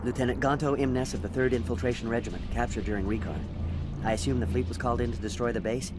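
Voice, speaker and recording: An actor playing a traumatised captured alien soldier in a video game, intense and clipped. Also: male voice, mid-range voice, steady tone, fast talker, natural voice. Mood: haunted, grim. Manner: intense, haunted, clipped military speech. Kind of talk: video game dialogue acting. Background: game score music.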